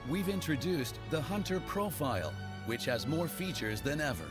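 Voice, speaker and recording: Lively male promo narrator. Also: fairly high pitch, normal speed. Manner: promotional, smoothly paced presentation. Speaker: showcase presenter. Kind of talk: scripted narration. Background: music playing.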